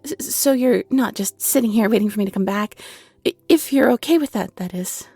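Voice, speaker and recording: Actress as bashful young fairy tea seller, giggly and flustered. Soft, gently creaky voice, close and dry, faint music behind. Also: female voice, fairly high pitch, brisk, no echo. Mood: hesitant, hopeful. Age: 20s. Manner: nervous stammering, giggly, apologetic. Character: shy, flustered young half-fairy woman running a tea shop. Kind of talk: scripted audio roleplay, intimate and conversational.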